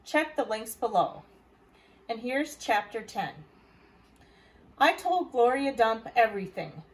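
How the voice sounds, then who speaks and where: Woman doing a library read-aloud, storybook narration, fairly high voice. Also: low-quality recording. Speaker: library staff reader.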